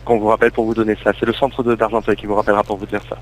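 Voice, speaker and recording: A man's voice: lowish voice, level tone, racing, a crowd in the background. A man speaking as a polite customer service agent on a phone call, explaining things with some hesitation. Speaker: windscreen repair call centre agent. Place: phone call recorded over Skype. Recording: faint hum on internet call.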